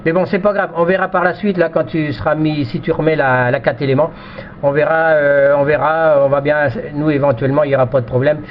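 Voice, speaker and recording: A man with a slightly high voice chats casually over amateur radio. He repeats himself and often tags his phrases with "hein". The sound is dull and lo-fi, with light background noise. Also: fairly even intonation, a little fast. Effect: two-way radio sound.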